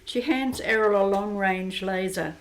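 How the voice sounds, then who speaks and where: A woman's voice: medium pitch, unhurried, poor audio. A woman giving plain, scripted narration of stage directions in an amateur radio play.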